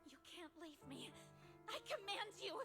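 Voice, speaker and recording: High-voiced, imperious young girl. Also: expressive, normal speed. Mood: desperate, commanding. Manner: imperious, emotional delivery. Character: bitter, commanding young daughter, childish and possessive. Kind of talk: dramatic video game voice acting. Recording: game music behind the voice.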